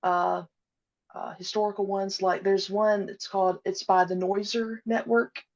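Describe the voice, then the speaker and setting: A woman guest talking casually at a middle pitch, pausing often on "uh" and "um." Her voice comes through a slightly dull, lo-fi line. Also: little pitch movement, a little mellow. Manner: hesitant, many "uh" and "um" fillers. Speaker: podcast guest, audio drama creator. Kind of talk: casual interview chat.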